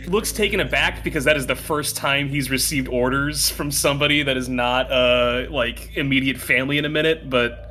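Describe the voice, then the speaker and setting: A man's voice: medium pitch, steady tone, normal speed, pushed and bright, slight background noise. A man chatting casually as a player in a tabletop game, describing what his character does.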